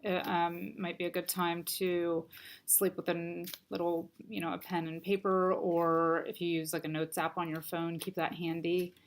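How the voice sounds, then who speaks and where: A woman with a somewhat low voice talks through a weekly crystal card reading in a gentle, unhurried way. Her voice sounds a bit stuffy and scratchy from allergies. She fills her pauses with soft ums. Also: flat delivery. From United States. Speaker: crystal and oracle card reader. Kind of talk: casual spiritual card reading.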